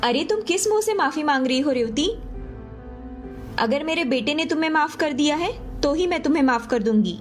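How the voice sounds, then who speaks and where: A woman playing a stern, offended mother-in-law in an animated moral story. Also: high voice, moderate pace, soft background music. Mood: cold.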